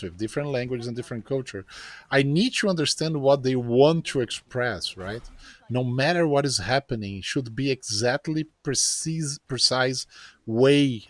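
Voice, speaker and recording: Excitable male host, dry sound. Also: mid-range voice, no echo. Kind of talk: casual interview chat while gaming.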